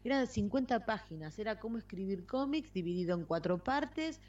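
A woman's voice: mid-range voice, expressive, moderate pace, darkish tone. A woman with an Argentine accent chatting casually about books as a podcast co-host. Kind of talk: casual book chat. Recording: slightly muffled lo-fi audio.

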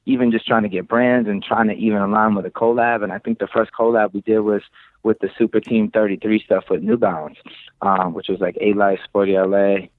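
A man's voice: on the low side, steady tone, fairly quick, no echo. A man chatting casually as an interview guest, candid and slangy with free swearing, telling stories. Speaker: interview guest, creative director of a sneaker shop. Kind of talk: casual chat, storytelling. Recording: thin phone-like radio-quality line.

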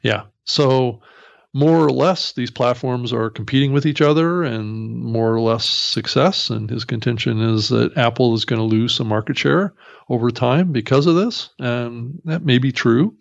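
Gravelly man, middle-pitched. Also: unhurried. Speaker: podcast co-host. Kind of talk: casual industry discussion. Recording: dull, slightly lo-fi audio.

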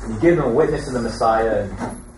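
A man of ordinary pitch talks in an earnest, conversational way at a question-and-answer session. His voice sits away from the mic, with strong room echo and faint noise. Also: fast, a little mellow, distant mic. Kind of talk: informal religious discussion.

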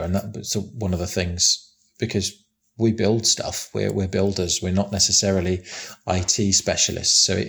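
A man's voice: low voice, vocal fry. A man who manages a construction site, talking casually and plainly in a big voice, often restarting and repeating words. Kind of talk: casual interview answers.

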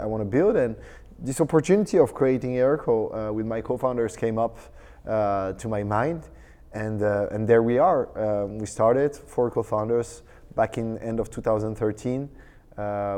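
A man's voice: medium pitch, mellow. French-accented man in a reverberant hall. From France. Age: 30s. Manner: hesitant, many fillers and restarts. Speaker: startup co-founder, guest. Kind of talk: casual interview. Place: tech conference.

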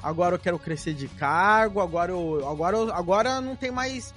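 Brazilian podcast host, high voice, chatty and animated, bit of music behind. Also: male voice, fairly quick. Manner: chatty, animated, full of fillers. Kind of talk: casual interview chat.

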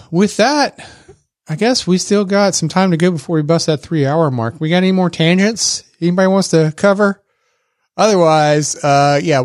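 A man's voice: on the high side, no echo, studio quality. A man with a Texas accent, co-hosting a tech podcast in a rambling, casual way with many fillers and restarts. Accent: Texan. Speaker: podcast co-host.

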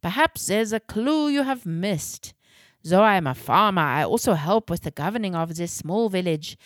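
Storybook farmer and village elder, male, played by a woman: German accent, deliberate, formal, earnest counsel, dry recording. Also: medium pitch, no echo, natural voice. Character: Swiss farmer man, village official, measured and earnest.

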